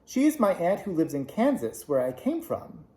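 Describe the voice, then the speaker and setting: A man voicing an earnest, homesick young girl in a storybook reading, asking questions in a pleading way, higher than his own voice. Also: big pitch swings, normal speed, mellow. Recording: echoey room.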